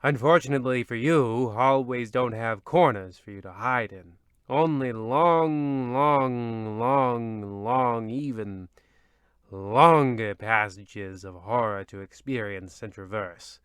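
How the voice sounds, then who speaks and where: An actor playing a petty, theatrical male narrator of an old-time radio horror comedy, with ominous drawn-out lines and peevish asides. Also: medium pitch, fairly bright tone, clear, resonant tone, no echo, studio quality.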